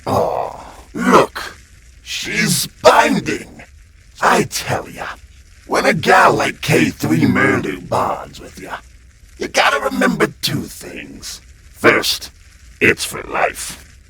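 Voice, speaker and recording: A man's voice: medium pitch, wildly swooping pitch, drawn-out words, a little hiss or hum, heavily acted. A man playing a glib, sleazy demon guide of hell in a comic dub, full of slangy, theatrical sales patter. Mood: gleeful, mocking. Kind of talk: comic-book dub voice acting. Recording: loud music under the voice. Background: prominent music.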